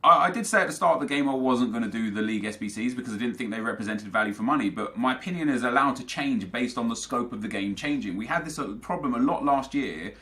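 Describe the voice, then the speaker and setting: A man's voice: mid-range voice, lively intonation, fast talker, hardly any pauses, a bit bright. A young man with a British accent, talking casually and argumentatively as a gaming video host, answering viewer comments and correcting himself mid-sentence. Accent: British English. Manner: rambling, argumentative, self-correcting.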